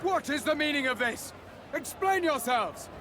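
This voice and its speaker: An actor playing an imperious, excitable medieval king in a video game, grandiose and theatrical. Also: very high voice, moderate pace, noisy background. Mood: alarmed, furious. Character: haughty, excitable Hungarian crusader king.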